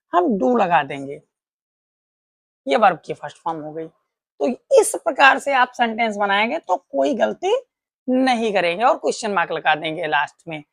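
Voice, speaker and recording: A woman teaches grammar patiently, step by step, asking questions and answering them herself. Her voice sits somewhat high, with a gravelly edge. The sound is dry and close. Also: no room sound. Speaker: English teacher. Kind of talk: classroom grammar lesson.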